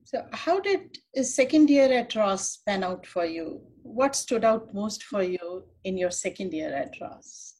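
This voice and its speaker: A woman's voice: medium pitch, expressive, measured pace, few pauses, darkish tone, rough recording. A woman hosting an interview, reading a formal introduction and asking polite questions. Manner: polite, formal, reads from a script. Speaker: interviewer, host.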